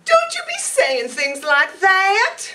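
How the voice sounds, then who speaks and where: A woman's voice: extremely high pitch, huge pitch swings, slow pace, pushed and bright, heavily acted. A woman playing a dramatic, whiny, defiant gangster's moll in a 1950s radio noir drama. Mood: pleading, defiant. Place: live stage reading in a meeting hall. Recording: distant microphone in a hall.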